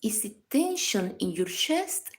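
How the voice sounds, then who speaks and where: A woman reading a scripted guided meditation in a calm, measured, instructional manner. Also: mid-range voice. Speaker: psychologist guiding a meditation.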